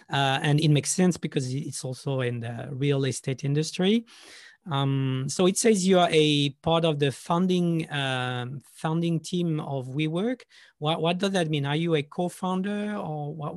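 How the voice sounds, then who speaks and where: A man's voice: fairly high pitch, dry recording. Guy hosting a tech podcast, kinda hesitant, repeats himself, dry close sound. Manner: hesitant, repeats words while asking questions. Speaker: podcast host. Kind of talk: podcast interview.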